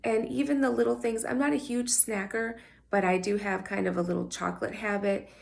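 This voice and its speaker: Woman vlogging in a scratchy morning voice, heavy vocal fry, chatty and open. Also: mid-range voice, steady tone, a little fast. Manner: candid, chatty, personal. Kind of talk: casual talk to camera.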